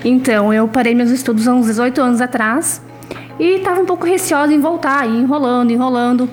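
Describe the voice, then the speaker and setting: A woman's voice: high-pitched, brisk, soft background music. A woman in her thirties with a Brazilian accent, giving a casual personal account as an interview guest. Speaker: interview guest, adult student.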